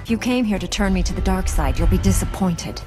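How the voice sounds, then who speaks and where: An actress playing a principled young Jedi knight in a video game, composed, sincere and measured. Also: female voice, on the low side, over music, lightly acted. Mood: questioning. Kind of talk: dramatic video game voice acting.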